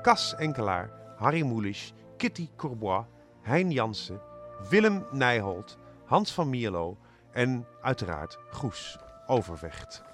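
A man's voice: medium pitch, very animated, slow, a bit bright. A man presenting live as a radio host. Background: music.

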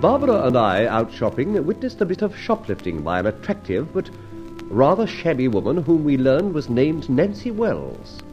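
Theatrical, suave gentleman adventurer, animated. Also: on the high side, moderate pace. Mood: wry narration. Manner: theatrical, arch, playfully pompous. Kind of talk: old radio serial acting and narration. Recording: dull lo-fi old radio recording, faint music.

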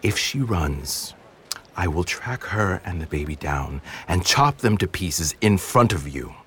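An actor playing a ruthless, menacing and commanding enforcer in a fantasy audio drama. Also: male voice, sits low, expressive, unhurried, a bit bright, slight background noise. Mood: cold threat. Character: ruthless, menacing enforcer threatening to kill an infant.

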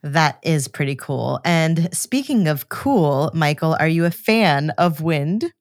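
A woman co-hosting a podcast, mixing scripted presenting with casual, expressive conversation. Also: low voice, slow, no room sound, clean studio sound. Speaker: podcast co-host.

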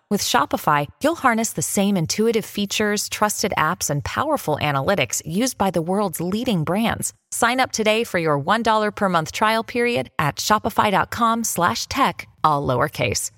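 A woman reading a sponsor ad in a smooth, upbeat way. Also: fairly low pitch, a bit bright, no echo, studio quality. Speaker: ad reader. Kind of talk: read sponsor ad.

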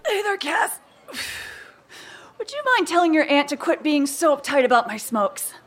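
A laid-back, irreverent woman at the bar in an audio drama. Her voice is high and bouncy, full of playful indignation. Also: very animated, moderate pace, fairly bright tone. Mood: breezy.

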